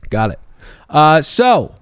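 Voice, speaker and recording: A man's voice: fairly high pitch, sing-song, slow, smooth, no room sound, clean studio sound. A man hosting a casual comedic TV recap podcast, wry and jokey with sardonic asides. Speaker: podcast host.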